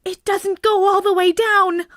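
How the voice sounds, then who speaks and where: A woman's voice: very high voice, little pitch movement, a little slow, fairly bright tone, a little hiss or hum. A woman playing a timid young woman on a first date in an amateur vintage radio play, sounding worried and breathless.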